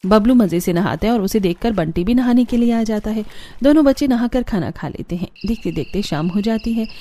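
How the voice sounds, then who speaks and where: A woman's voice: mid-range voice, lively intonation, fairly quick, warm tone. A woman reading a scripted moral story as its narrator. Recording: music behind the voice. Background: background music.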